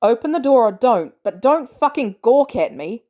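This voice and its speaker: A woman voicing a gruff male soldier on the run in an audiobook, speaking bluntly in clipped, urgent phrases. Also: a little high, very animated, normal speed, mellow, pitched above the performer's own voice. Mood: urgent, frustrated.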